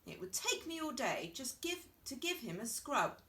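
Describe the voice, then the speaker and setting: A woman's voice: sits high, sing-song, normal speed, a bit bright, far from the mic, voice pushed up. A woman voicing an anxious, easily upset mother hen in a children's story, exclaiming dramatically and fretfully. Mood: aghast.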